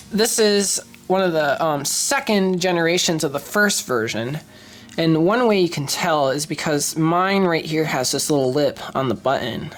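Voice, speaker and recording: A young woman with a stuffy, congested voice from a cold, chatting enthusiastically with lots of ums and restarts as she shows off a collected item. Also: low voice. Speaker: streetlight collector and vlogger. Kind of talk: casual show-and-tell vlog.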